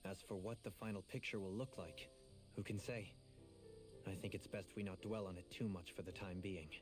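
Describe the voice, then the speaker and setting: Casting: male actor as eloquent anime-game nobleman, composed and formal, lowish voice, prominent music underneath. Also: steady tone, moderate pace, close to an everyday voice. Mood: grave, pondering. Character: composed, scholarly young nobleman pondering a mystery. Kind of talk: anime video game voice acting.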